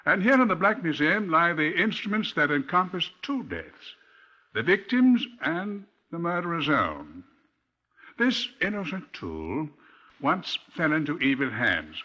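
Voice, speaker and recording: A dull vintage radio recording of a British police curator. His pitch moves animatedly as he muses with grim, dry wit in 1950s radio narration style. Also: very animated, a little slow, pushed and bright, full character voice. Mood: musing, wry. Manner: musing, dryly macabre. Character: genial, grimly wry police museum curator.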